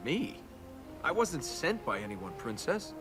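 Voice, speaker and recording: An actor playing a young, sarcastic adventurer prince, a flippant and reluctant hero in a video game. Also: male voice, mid-range voice, big pitch swings, measured pace. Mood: wry. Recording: music behind the voice. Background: game music.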